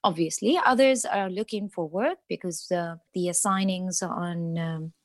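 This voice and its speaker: A woman's voice: fairly low pitch, a little slow, no echo, studio quality. Woman answering with many fillers. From Iraq. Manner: hesitant, many fillers and restarts, laughs lightly. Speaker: guest, diplomat. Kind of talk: casual interview answers.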